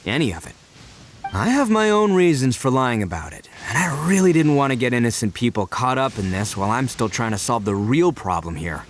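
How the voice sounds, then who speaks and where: An actor playing a brash, big-hearted young gang leader in a video game, boisterous and colloquial with boyish bravado. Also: male voice, mid-range voice, sing-song, a little fast, slight background noise, lightly acted. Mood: sheepish, sincere. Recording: faint game music behind.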